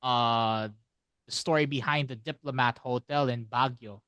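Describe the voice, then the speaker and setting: A man co-hosting a casual podcast, explaining things haltingly and often circling back to repeat phrases. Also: mid-range voice, steady tone, bright, forceful tone, clean tone, no echo, lo-fi sound. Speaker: podcast co-host.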